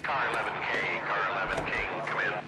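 A man's voice: lowish voice, brisk, bright, forceful tone. A man playing a procedural police dispatcher in a video game, making clipped announcements over a radio. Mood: official. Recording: faintly noisy car radio.

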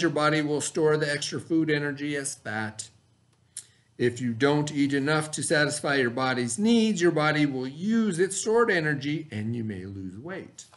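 A middle-aged American man, an elementary teacher, reading a lesson aloud to children in an expressive, instructional manner. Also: on the high side. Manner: expressive, instructional, pauses to ask the listener questions. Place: small indoor classroom, at a desk.

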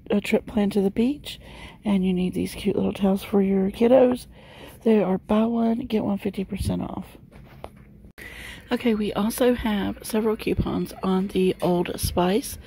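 A woman's voice: medium pitch, gravelly, warm tone, a little hiss or hum. A middle-aged woman chatting casually as she films a walk through a large store, talking loosely with many fillers. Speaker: shopping vlogger. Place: inside a large discount store. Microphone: handheld phone camera.

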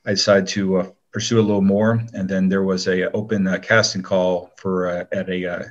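A middle-aged man with a very low voice talks as a guest in a casual interview. He is modest and conversational. The recording is a little dull and lo-fi. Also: level tone, moderate pace.

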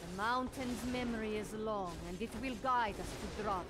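A woman's voice: mid-range voice, moderate pace, lightly acted. An actress playing an earnest, devout female warrior monk in a fantasy video game, speaking formally. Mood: resolute. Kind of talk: dramatic scripted video game dialogue. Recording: loud game music behind the voice.

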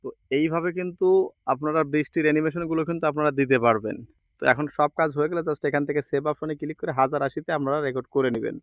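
A man walks listeners through an on-screen task in an informal way. He talks quickly and repeats his pointers as he goes. The recording is close and dry. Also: a little high, dry recording, lo-fi sound. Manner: repetitive, instructional phrasing. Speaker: video editing tutorial presenter. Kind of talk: informal step-by-step screen tutorial.

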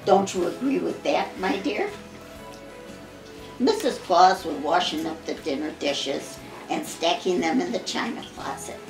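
An elderly American woman reads a storybook poem aloud at an unhurried pace. Her voice swings widely in pitch and is expressive, stumbling now and then. She is recorded in a roomy living room with music behind her. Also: vocal fry. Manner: measured, expressive storybook reading with occasional stumbles. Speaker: grandmother reading to family. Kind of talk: reading a Christmas poem aloud.

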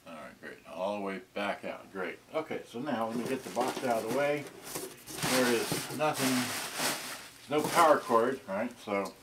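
A man in his sixties gives an off-the-cuff demonstration in a mid-range voice. He talks haltingly, half to himself, while handling things. The camera microphone picks him up from a short distance, with clear noise in the room. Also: mid-range voice, lively intonation. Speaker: vintage electronics unboxing vlogger. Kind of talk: casual off-the-cuff demonstration talk. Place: small cluttered indoor storage room.

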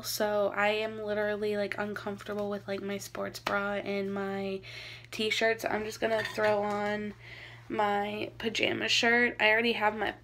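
A woman's voice: medium pitch, a bit bright. A young woman vlogging casually from home into a phone held at arm's length, rambling with lots of fillers and sometimes dropping to a whisper. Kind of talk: casual vlog chat. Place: small home bathroom and living room.

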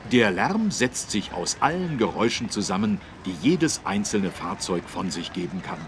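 A husky-voiced man narrating a children's learning game, explaining things in an encouraging, animated way with careful phrasing. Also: fairly low pitch, normal speed, a little hiss or hum. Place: dry studio.

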